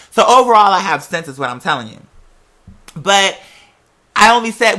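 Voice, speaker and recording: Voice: mid-range voice, pushed and bright. A young adult vlogger telling personal stories in a casual, rambling way, with sassy, emphatic, profanity-laced slang. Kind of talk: casual rambling storytelling to camera or mic.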